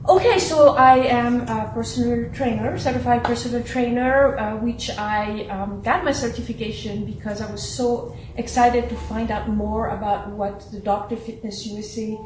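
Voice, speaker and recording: A middle-aged woman from Switzerland, a guest talking about her work as a trainer and author in an eager, lively way with quick laughs. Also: on the high side. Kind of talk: interview answers. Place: studio. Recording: echoey studio room, faint noise.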